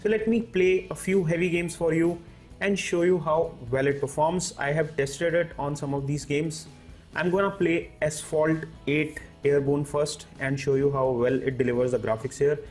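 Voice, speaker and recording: A man's voice: mid-range voice, darkish tone. A man giving a product review as a tech reviewer, explaining things step by step.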